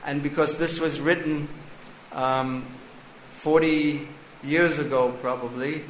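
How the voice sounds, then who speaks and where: A man with a slightly high voice gives a scripture lecture at an unhurried, deliberate pace. He reads a line of text and then explains it to the listeners. The sound is echoey, with faint ambient noise behind him. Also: steady tone. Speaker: scripture class teacher.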